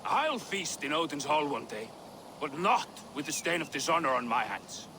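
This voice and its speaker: An actor playing a gruff, defiant, crude old Viking warrior in a video game, blunt and grumbling. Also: male voice, fairly high pitch, big pitch swings, moderate pace, noisy background. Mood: stubborn, defensive. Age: older. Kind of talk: video game cutscene acting.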